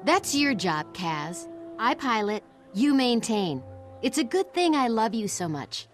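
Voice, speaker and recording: A woman's voice: fairly high pitch, big pitch swings, unhurried, faint music behind. A woman voicing an exasperated but affectionate golem pilot in a fantasy video game, tart and scolding. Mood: exasperated, fond. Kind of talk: scripted game cutscene acting.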